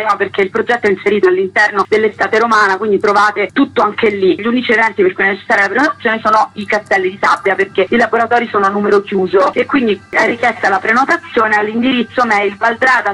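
A woman speaks as a festival curator in a radio interview, laying out a programme. Her voice is sharp and bright, with a clearly husky, breathy grain at an average pitch. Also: low-quality recording.

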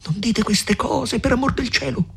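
A man voicing an anxious, cautious peasant mother in a storybook reading, urgent and hushed. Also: animated, normal speed, gravelly, slightly rough, exaggerated voice. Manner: urgent, lowered voice.

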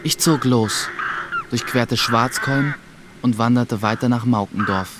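A male actor as a reflective first-person narrator in a radio play, looking back on his youth. He tells it in measured, scripted storytelling. Noisy ambience sits clearly behind the voice. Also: medium pitch, close to an everyday voice. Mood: matter-of-fact. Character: grown Krabat, reflective narrator recalling his dangerous youth.

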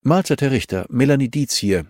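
A man's voice: mid-range voice, animated, a little fast, a little mellow, dry recording, voice pushed up, lightly acted. Guy reading as a businesslike woman detective, wry, insistent, close dry audiobook sound. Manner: matter-of-fact, politely insistent. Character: composed, businesslike female investigator, firm and wry.

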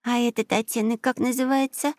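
A woman voicing lively, curious young children in a storybook, with an eager, childlike manner. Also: fairly high pitch, a little fast, dry recording, clean studio sound, pitched above the performer's own voice, close to an everyday voice. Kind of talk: children's audio storybook character voice.

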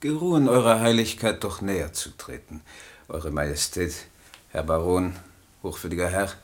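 An actor in a German radio play playing a mad aging poet, servile and ceremonious, halting and solemn in his speech. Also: low voice, lively intonation. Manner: obsequiously formal, halting, solemn.

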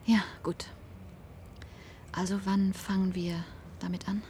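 An actress playing a young institute patient in a radio drama, anxious and hesitant, with a breathy voice. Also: female voice, mid-range voice, little pitch movement, slow pace, warm tone, a little hiss or hum, lightly acted. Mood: hesitant, uneasy. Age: young adult. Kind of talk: German radio play acting.

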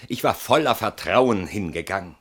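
An actor playing an agitated, guilt-ridden man in a radio drama, speaking in a halting, self-justifying way that swings between muted and outspoken. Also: on the low side, expressive, a bit bright. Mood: anxious self-justifying. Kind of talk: German radio drama acting.

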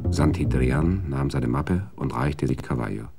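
Deep gravelly narrator guy, dry measured telling, faint music under him. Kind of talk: scripted narration.

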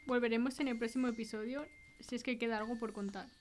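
A woman's voice: on the high side, steady tone, fast talker, a little mellow, a little hiss or hum, close to an everyday voice. A woman with a Spanish accent playing a composed host of a mystery podcast, interviewing guests. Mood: measured, mysterious. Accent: Spain.

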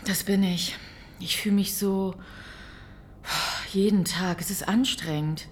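An actress playing a weary, steadfast farmer's wife in a radio drama, hushed, intimate and practical in her reassurance. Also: medium pitch, steady tone, takes it slowly, slight background noise, close to an everyday voice. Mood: weary, guarded. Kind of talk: naturalistic radio drama dialogue.

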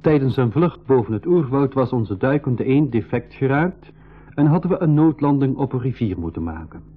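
A storyteller addressing boys and girls in scripted narration. His medium-pitched voice comes through a narrow, tinny radio filter. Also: animated, moderate pace, breathy edge. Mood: storytelling. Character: storyteller recapping an adventure for children.

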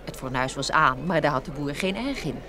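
A woman narrating a children's fairy tale from a script in an expressive storytelling manner. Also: low-pitched, a little fast, a crowd in the background.

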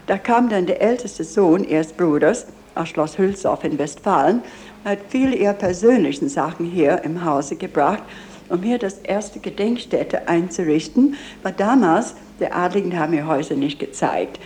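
A middle-aged woman with a low, mellow voice speaks as a tour guide. She explains things earnestly, with small slips of grammar. There is some background noise from the location. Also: lively intonation, moderate pace. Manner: earnest, with slightly faulty grammar. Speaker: museum guide and owner of a memorial house.

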